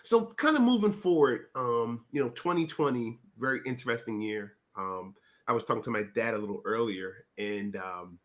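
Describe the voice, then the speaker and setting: A man's voice: medium pitch, expressive, moderate pace, fairly bright tone. Casual rambling male interview host. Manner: casual, rambling, filler-heavy.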